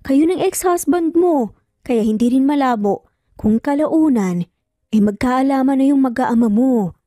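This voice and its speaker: A woman's voice: high voice, animated, measured pace, dark tone, dry recording, clean studio sound. A woman voicing a concerned, inquisitive older sister in a romance story, probing and knowing, over music. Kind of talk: Tagalog romance drama storytelling. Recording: music bed under the voice.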